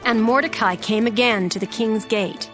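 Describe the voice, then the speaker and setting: A woman narrating a dramatized scripture reading in a measured, formal way. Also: a little high, steady tone, over music.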